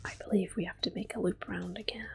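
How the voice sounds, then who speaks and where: A woman hosting a relaxing game playthrough, talking mostly in a gentle, unhurried whisper with small exclamations here and there. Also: mid-range voice, fairly even intonation, gravelly, noisy background. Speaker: gaming ASMR host. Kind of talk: soft whispered game commentary.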